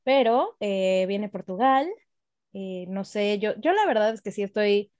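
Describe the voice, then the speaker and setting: A young woman, co-hosting a podcast in casual, excitable, gushing fan chat. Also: mid-range voice, unhurried, no echo, studio quality. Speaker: podcast co-host. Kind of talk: casual chat about racing.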